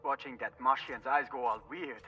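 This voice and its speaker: An actor playing a rough, loyal space crewman in a video game, with clipped, slangy phrasing. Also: medium pitch, steady tone, moderate pace, sharp tone, far from the mic, highs cut off, faint music behind, close to an everyday voice. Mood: wry recollection.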